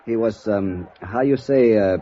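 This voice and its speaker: An actor playing a patient, determined Mexican police captain in 1950s radio drama, with a Spanish accent and halting broken English mixed with Spanish. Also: male voice, on the low side, warm tone. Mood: earnest, searching. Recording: muffled 1950s radio recording.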